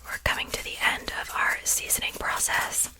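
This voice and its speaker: A woman whispering gently and without hurry in an ASMR roleplay, playing a polite but wicked witch in a breathy voice. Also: low-quality recording. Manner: gentle, unhurried, softly chatty. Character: wicked but polite witch seasoning her victim for stew. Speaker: ASMR performer.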